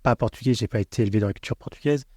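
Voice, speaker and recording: A man's voice: mid-range voice, dark tone, breathy edge, no echo, studio quality. A man from the Paris region hosting a casual podcast, chatty and opinionated, often jumping in. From Paris region, France. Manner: chatty, opinionated, quick to interject. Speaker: podcast host.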